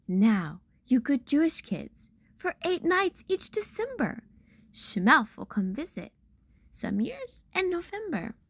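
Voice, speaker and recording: A woman's voice: sits high, breathy edge, no echo. A woman reading a rhyming children's picture book aloud with theatrical storyteller emphasis.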